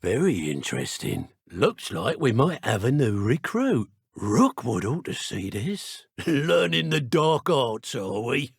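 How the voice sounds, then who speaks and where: Casting: sneering dark wizard henchman, English accent, husky rough voice, colloquial and threatening, video game enemy dialogue, recorded dry. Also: medium pitch, sing-song, takes it slowly, no room sound, exaggerated voice. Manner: taunting, working-class colloquial speech. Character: menacing, sneering male dark wizard thug.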